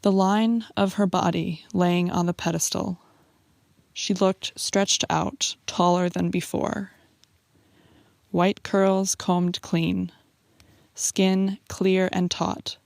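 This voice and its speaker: A woman, an amateur poet, introducing and reading her work in a measured style. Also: on the low side, little pitch movement, creaky, darkish tone, dry recording, clean studio sound.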